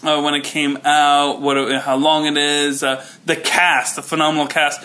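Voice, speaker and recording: A man's voice: a little high, flat delivery, moderate pace, sharp tone. A man in his thirties co-hosting a podcast, rambling with lots of fillers and restarts. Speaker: podcast co-host. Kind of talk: casual chat.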